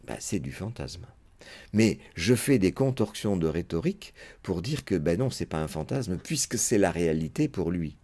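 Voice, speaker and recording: Brief: male psychoanalyst, very low husky voice, muses aloud through a lecture with many pauses and fillers. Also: warm tone. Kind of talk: unscripted spoken lecture.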